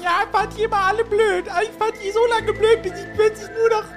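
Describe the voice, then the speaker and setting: Very high, whiny, naive guy. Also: little pitch movement, a little fast, over music. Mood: eager, frustrated. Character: Ferdinand, whiny, naive, sex-obsessed male friend. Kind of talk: improvised comedy audio play.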